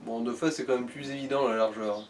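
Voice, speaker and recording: A man of medium pitch, sitting away from the microphone, comments casually on a game. He talks in slang with a teasing, joking manner. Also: fairly even intonation, fast. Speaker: let's play co-commentator. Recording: voice far from the mic.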